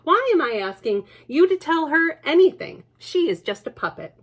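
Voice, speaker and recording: A distracted picture-book father who waves his daughter off in a breezy, raised voice, put on by a woman reading aloud. His lines bounce with lively pitch. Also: moderate pace.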